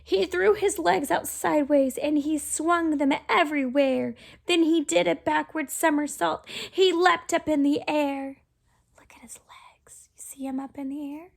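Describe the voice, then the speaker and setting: High-voiced, unhurried woman reading a picture book. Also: fairly even intonation, vocal fry. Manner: child-directed, asks the listeners questions in hushed asides. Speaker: children's storyteller. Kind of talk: expressive picture-book read-aloud.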